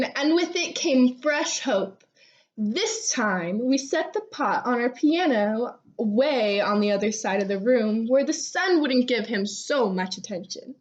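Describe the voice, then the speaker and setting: A woman reading a children's storybook aloud expressively, with animated delivery and drawn-out phrase endings. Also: fairly high pitch. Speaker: read-aloud storybook reader. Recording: dull, lo-fi sound.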